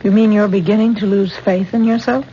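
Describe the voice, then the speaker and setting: Actress as earnest, gently scolding female caretaker, medium pitch, vintage radio drama with some background noise. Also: fairly even intonation, fairly quick, lo-fi sound, natural voice. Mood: concerned. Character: caring, firm, worried woman tending a sick man. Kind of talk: 1970s radio drama acting.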